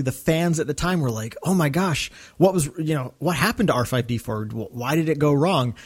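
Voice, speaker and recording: A man's voice: fairly high pitch, a little mellow. A man co-hosting a podcast in casual, enthusiastic discussion. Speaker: podcast co-host. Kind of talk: casual discussion of a radio drama.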